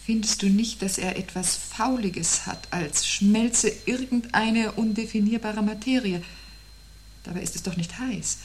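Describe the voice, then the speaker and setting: An actress playing an uneasy but stoic woman facing the world's end in a radio drama, speaking in terse, measured phrases. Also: medium pitch. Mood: uneasy, dreading. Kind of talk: 1970s German radio drama acting. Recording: 1970s radio recording with faint hum.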